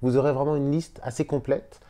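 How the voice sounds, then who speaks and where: A man who teaches Spanish, speaking in a casual video lesson from a hotel room and explaining slowly with repeated words. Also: medium pitch, steady tone, dark tone.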